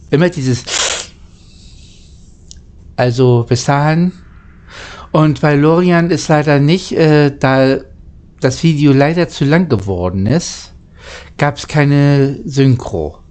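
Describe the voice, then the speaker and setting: A man reading and talking in a halting way, stumbling over and repeating words, like an amateur storyteller. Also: medium pitch, a little mellow. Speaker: narrator, channel host. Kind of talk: amateur storybook reading, casual channel outro.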